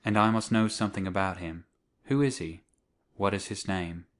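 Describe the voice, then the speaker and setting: A man playing a nervous, cautious middle-aged doctor in an audiobook dramatic reading, speaking in a measured, formal way. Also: low-pitched, close to an everyday voice. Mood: cautious concern.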